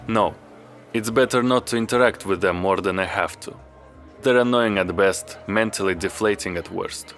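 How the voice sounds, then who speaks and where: A man playing a reserved, solitary young therapist in an audio drama, speaking in a measured, formal, understated way. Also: fairly low pitch, a bit bright. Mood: dry. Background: music.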